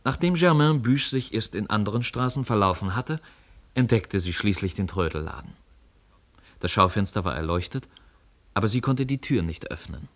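A man's voice: fairly low pitch, animated, moderate pace. A man narrating a story for a radio play in scripted storytelling style.

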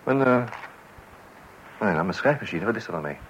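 A man plays a harried father in a radio play, trying to keep his patience. He hesitates, blusters and turns wry, his pitch leaping about on a somewhat low base. A faint hiss of old radio sits behind him. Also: expressive, moderate pace, muffled recording, close to an everyday voice. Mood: exasperated. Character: exasperated father of small children, trying to stay patient. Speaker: narrator addressing the listener. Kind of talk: Dutch radio play acting. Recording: hissy old radio recording.

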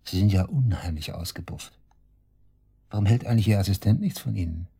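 Casting: cocky shady suspect, dark low husky voice, taunting and coarse, radio play. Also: male voice, animated, fairly quick, heavily acted. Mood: mocking. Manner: taunting, cynical, coarse colloquial talk. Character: cocky, sneering male murder suspect, shady club worker. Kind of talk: German radio drama acting, interrogation dialogue.

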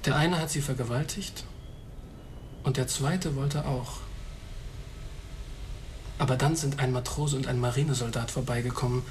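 A man acts a reclusive blind man in a radio drama. He speaks at a medium pitch, deliberate and dryly matter-of-fact, with faint ambient noise around him. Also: fairly even intonation, natural voice. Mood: sombre. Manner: measured, dry, deliberate.

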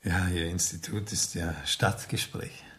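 Low-voiced young count. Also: male voice, steady tone, measured pace, background noise. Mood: confidential. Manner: genteel, hesitant, with tag questions. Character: young aristocratic count, polite, condescending and discreet. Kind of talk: German radio drama acting.

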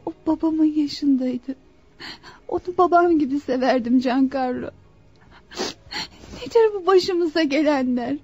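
An actress playing an anxious, devoted young bride-to-be in a Turkish radio play, with theatrical, pleading delivery. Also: female voice, high-pitched, a little mellow. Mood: tearful, pleading. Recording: dull lo-fi radio drama recording.

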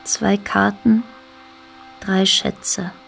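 A woman's voice: mid-range voice, flat delivery, extremely slow, gravelly, faint music behind, full character voice. A woman reading scripted literary narration as the storyteller of a radio play. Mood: measured storytelling. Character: female storyteller narrating island histories.

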